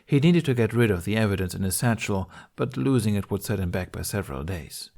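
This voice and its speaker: A man's voice: fairly low pitch, animated, fairly quick, creaky, darkish tone. A man narrating an adventure story in a scripted, audiobook style. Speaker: podfic narrator.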